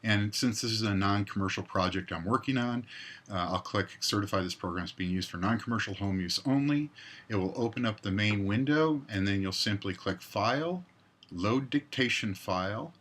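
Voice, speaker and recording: A man presenting a step-by-step software tutorial in a voice-over. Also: on the low side, moderate pace. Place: indoors, screen recording voice-over.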